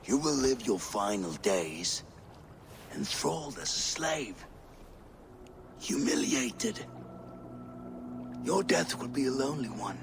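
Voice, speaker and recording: An actor playing a cruel, triumphant Viking warlord in a video game, with a gravelly, menacing, theatrical delivery. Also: male voice, medium pitch, very animated, slow, pauses often, a little hiss or hum, full character voice. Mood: gloating menace. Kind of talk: dramatic video game acting.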